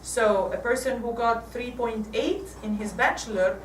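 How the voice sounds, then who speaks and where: An Egyptian woman in her forties gives a church talk with slides, halting and restarting often. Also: fairly high pitch, a little hiss or hum. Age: 40s. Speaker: guest speaker. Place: church hall. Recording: echoey hall from far off.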